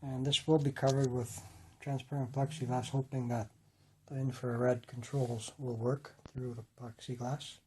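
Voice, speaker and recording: A man with a rough voice, casually rambling and correcting himself while he shows his model city. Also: medium pitch, little pitch movement, measured pace, a little hiss or hum, poor audio. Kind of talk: casual talk-through.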